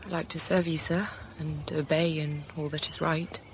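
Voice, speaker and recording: An actress playing a dutiful young governess in a period radio drama, speaking in a measured, restrained, formal way. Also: female voice, low voice, level tone, slight background noise. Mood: quiet, earnest. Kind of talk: period radio drama acting and first-person narration.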